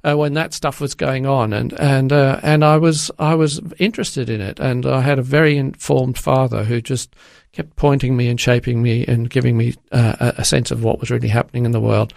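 An older man from Zimbabwe answering interview questions in a halting way, with many restarts and fillers. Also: mid-range voice, flat delivery, vocal fry, a little mellow, no room sound, studio quality. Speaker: guest.

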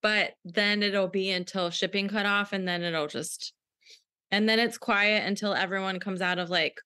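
A woman in her thirties co-hosting a podcast while stuffy and sore-throated from a cold, talking in a relaxed, conversational way. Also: medium pitch, normal speed, clear, resonant tone. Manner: relaxed, conversational, says "you know what I mean" often. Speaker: podcast co-host. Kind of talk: casual chat.

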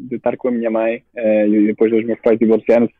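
A man's voice: fairly low pitch, monotone, fast talker, no echo. A man in his twenties from Spain with a Spanish accent, speaking Portuguese as a guest entrepreneur, hesitant with many restarts. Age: 20s. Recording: tinny phone-like line.

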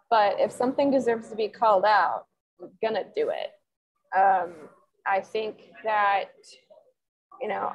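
A woman's voice: mid-range voice, highs cut off. A woman in her twenties, a sports columnist, answering interview questions in a chatty, rambling way full of fillers. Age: 20s.

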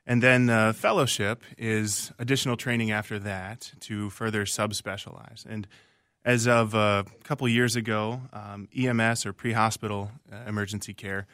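A man from Virginia, an emergency physician, answering interview questions in a clear, explanatory way. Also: fairly low pitch, fairly even intonation, moderate pace, a bit bright, dry recording, studio quality. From Virginia, USA.

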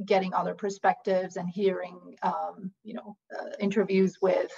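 A woman's voice: on the low side, flat delivery, moderate pace, vocal fry, darkish tone, breathy edge. A woman born and raised in Iran, speaking as an interview guest, hesitant with many fillers and restarts. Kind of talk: conversational interview. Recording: dull lo-fi audio.

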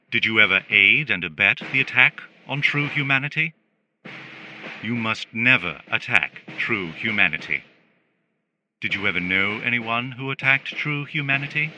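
An actor playing a caring but menacing government relaxation robot in a dystopian radio drama, putting slow, rhetorical questions. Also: male voice, lowish voice, lo-fi sound, noisy background, lightly acted. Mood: stern warning. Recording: music under the voice.

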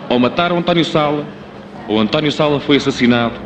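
A man's voice: on the high side, quick pace, close to an everyday voice. A man playing a self-important TV crime pundit, pompous and emphatic, in a radio comedy serial. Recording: roomy echo, noisy background.

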